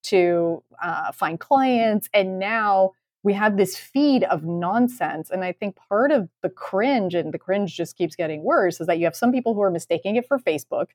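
A woman's voice: mid-range voice, animated, moderate pace, hardly any pauses, a little mellow, dry recording, clean studio sound. A woman co-hosting a podcast, giving her opinion in a casual, candid, emphatic way. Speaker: podcast co-host.

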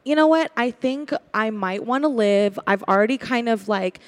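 A fairly high-pitched actress as a young-sounding, anxious woman passerby in an improvised radio parody. She is hesitant and polite, placating whoever she talks to. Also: moderate pace, close to an everyday voice. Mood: awkward, wary. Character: nervous, polite woman passerby dodging danger.

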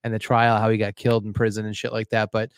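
A man's voice: fairly low pitch, completely flat delivery, fast, clean tone, dry recording, studio quality. An American man co-hosting in loose, profane casual chat, slightly sniffly. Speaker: co-host.

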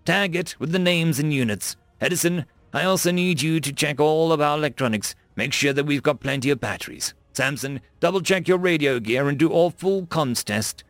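A man voicing a confident female Marine sergeant who gives brisk, firm orders in an audiobook story. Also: fairly high pitch, fairly bright tone, dry recording, voice pushed up. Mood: commanding. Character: commanding, confident female Marine sergeant briefing her squad.

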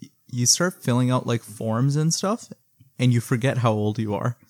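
A young man in his twenties hosts a podcast with a dry, teasing wit. His voice sits at a middle pitch and sounds close and dry on the mic. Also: normal speed, no room sound. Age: 20s. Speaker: podcast host. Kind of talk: casual chat.

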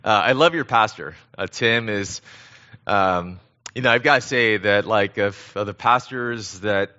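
Man, American accent, middle-aged, conversational sermon, earnest, halting delivery, somewhat low voice, slightly lo-fi sound. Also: pushed and bright. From born in Korea, grew up in Southern California. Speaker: guest pastor.

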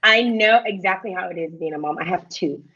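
A woman in her thirties hosting a casual online interview, effusive and fond of shout-outs, heard over a video call. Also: lowish voice, fairly quick, crowd noise. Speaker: host. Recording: slightly dull lo-fi sound.

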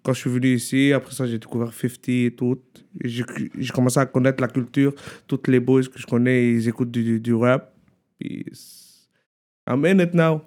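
A man's voice: mid-range voice, steady tone, normal speed, a little mellow. A man from Lebanon with a Lebanese accent, a studio producer chatting casually as a guest, mixing French with English slang. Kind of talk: casual interview chat.

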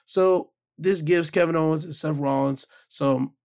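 Hoarse gravelly-voiced man recapping. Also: on the high side, fairly even intonation, measured pace, darkish tone. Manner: casual, rambling with fillers. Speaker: podcast host. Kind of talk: solo wrestling recap.

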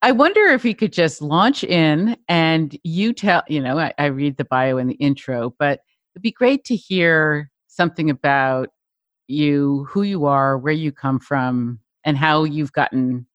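Middle-aged woman host, thoughtful, halting, close dry mic. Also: sits low, no echo, lo-fi sound. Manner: reflective, hesitant, searching for words. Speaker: podcast host. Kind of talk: interview.

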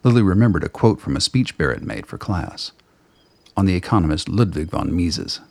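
Very deep, husky male narrator. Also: very animated, no room sound. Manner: literary, measured storytelling. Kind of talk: scripted radio drama narration.

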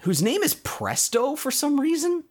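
A man's voice: very high voice, huge pitch swings, measured pace. A man hosting a podcast, casually recapping a cartoon episode in a conversational way with explanatory asides. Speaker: podcast host.

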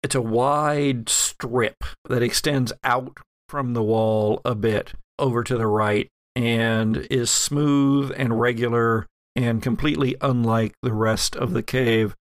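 A man acts as game master, describing scenes in a wry, conversational manner. He takes his time over the details at an unhurried pace. The sound is dry, with very little room in it. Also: mid-range voice, steady tone, dry recording. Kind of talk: narrating a tabletop role-playing game, conversational.

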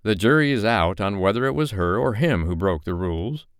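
A man's voice: fairly low pitch, animated, brisk, smooth, no room sound, voice pushed up. Guy reading as earnest protective man in an audiobook, reproving, voice lifted above his usual, dry sound. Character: frowning, fair-minded male dom, earnest and protective.

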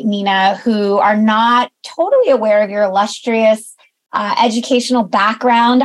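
Young woman podcast host, slightly high voice, enthusiastic welcomer. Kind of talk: podcast hosting, scripted intro.

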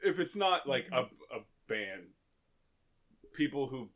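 A man co-hosting a podcast, talking loudly and rambling in casual jokes in an echoey room. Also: mid-range voice, sing-song, takes it slowly. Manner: loud, joking, rambling. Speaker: podcast co-host. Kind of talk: casual chat.

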